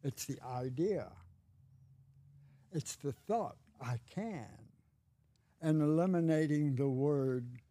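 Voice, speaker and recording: A man in his eighties, a podcast guest, telling stories in a slow, wry and bawdy way. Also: a little high, dark tone. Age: 80s. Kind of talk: casual chat.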